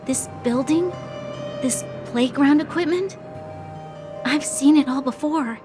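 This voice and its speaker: A woman's voice: high voice, slow. A woman playing an earnest, self-doubting young noblewoman in a video game, speaking formally with a hesitant, introspective manner. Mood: pensive. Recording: music behind the voice.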